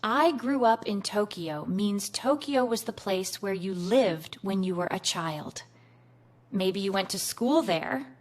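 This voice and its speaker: Slow, clear woman English teacher. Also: mid-range voice, animated. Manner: clear, patient, encouraging. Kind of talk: slow scripted classroom lesson.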